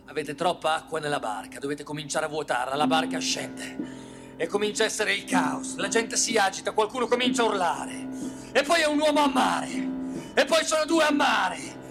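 A man's voice: high voice, animated, normal speed, gravelly. A man performs a theatrical satirical monologue in a dramatic, colloquial, sardonic storytelling manner, leaning on rhetorical repetition. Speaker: stage monologist. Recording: loud live guitar music accompaniment. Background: plucked guitar music.

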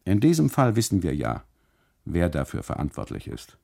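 A man's voice: deep voice, wildly swooping pitch, moderate pace, creaky, exaggerated voice. A man playing a boastful, aggrieved café custodian in a literary radio monologue, calling out emphatically and excusing himself. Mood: indignant, defensive. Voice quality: loud, calling out. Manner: emphatic, self-justifying.

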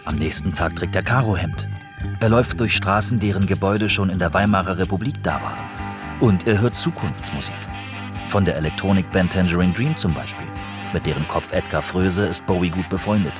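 A man's voice: low-pitched, a little fast, music in the background. A man narrating a scripted radio documentary.

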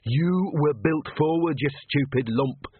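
A man voicing a bossy, scornful young female inner voice, squeaky and shrill in manner. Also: expressive, slow, a little hiss or hum. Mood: scornful, urgent. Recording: lo-fi, narrow phone-like sound.

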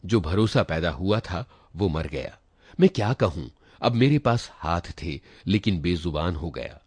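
A man narrating a literary short story in an expressive reading style. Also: sits low, unhurried, husky, dry recording. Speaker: story reader.

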